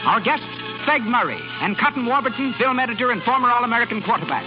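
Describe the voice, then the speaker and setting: A man's voice: very high-pitched, expressive, quick pace. A man announcing a 1930s radio show and its sponsor message in a formal, declamatory style. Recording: dull 1930s radio broadcast, loud music.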